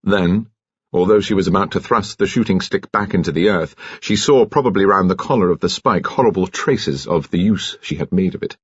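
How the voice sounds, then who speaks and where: A man's voice: sits low, a little fast, breathy edge, dry recording, dull audio. A British man reading a detective novel aloud as scripted, dramatic audiobook narration.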